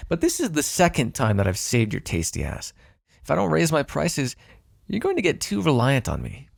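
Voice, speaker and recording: A man's voice: fairly high pitch, huge pitch swings, moderate pace, creaky, no room sound, clean studio sound, heavily acted. A man playing a smug, teasing vampire in an intimate ASMR roleplay, sardonic and flirtatious, possessive but fond. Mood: smug, bargaining. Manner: sardonic, flirtatious, swearing, sighing.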